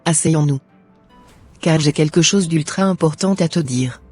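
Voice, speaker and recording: A woman playing a guilt-ridden young wife in an animated drama, speaking in an earnest, formal way. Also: low voice, measured pace, husky, faint music behind. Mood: hesitant, uneasy.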